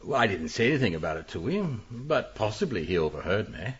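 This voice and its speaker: Older male suspect: genteel, pompous, flustered, husky, swooping delivery, radio whodunit, slightly dull audio. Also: on the low side, sing-song, a little fast, heavily acted. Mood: defensive. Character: older, genteel, smug male publisher and antiques collector. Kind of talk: radio mystery drama acting.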